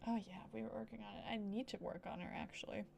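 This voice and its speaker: A woman giving casual live gameplay commentary as a streamer, playful and rambling, with excited exclamations. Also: mid-range voice, fast, creaky, slight background noise. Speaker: game streamer. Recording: game music behind voice. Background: video game music.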